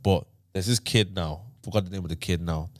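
A man's voice: low voice, fairly even intonation, fairly quick, no room sound, clean studio sound. A man casually telling a story on a podcast, rambling with fillers and restarts. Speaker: podcast host. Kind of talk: casual chat.

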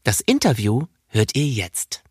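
Lively man hosting a podcast. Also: mid-range voice, unhurried, noisy background. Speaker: podcast host and interviewer. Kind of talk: scripted podcast introduction, then studio interview. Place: recording studio in Berlin.

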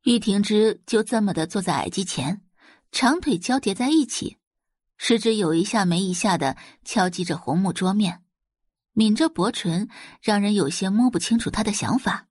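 A woman narrating a dramatic audio novel in an expressive storytelling manner. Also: mid-range voice, moderate pace, breathy edge, dry recording, studio quality. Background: faint background music.

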